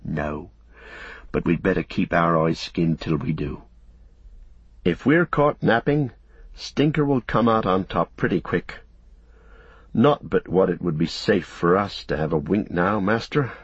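A man's voice: sits low, unhurried, husky, no echo, lo-fi sound. A man voicing a loyal, protective halfling servant with blunt, homely rustic phrasing in a storybook reading. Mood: tender, protective.

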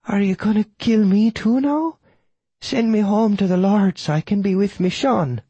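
A man voicing a frail elderly Irish widow, fearful yet sharp, with a tiny quavering voice and an Irish accent, in an audiobook. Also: sits high, moderate pace, breathy edge, dry recording, voice pushed up. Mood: shocked, quavering. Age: older.

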